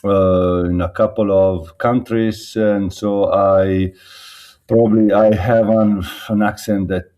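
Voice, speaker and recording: A man's voice: on the low side, steady tone, slow, mellow, lo-fi sound. A man with an Italian accent, a winemaker answering interview questions in a hesitant way, with frequent ums and uhs. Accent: Italian, slightly mixed. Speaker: winemaker guest.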